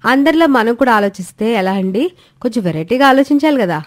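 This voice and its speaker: A woman's voice: on the high side, animated, quick pace, natural voice. A woman voicing a cheeky, scheming young daughter-in-law who talks in a teasing, glib way. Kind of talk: Telugu storybook voice acting.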